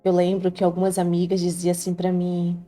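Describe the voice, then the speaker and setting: A woman's voice: fairly low pitch, monotone, a little fast, dark tone. A woman with a Brazilian Portuguese accent telling a life story in the first person, in an earnest, heartfelt, confiding tone. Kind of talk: first-person scripted narration. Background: faint background music.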